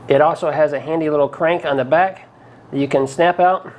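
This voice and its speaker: A man's voice: fairly high pitch, steady tone, brisk, a little mellow. A man hosting a casual product review, talking in a plain-spoken, folksy, conversational way. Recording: clearly noisy background ambience.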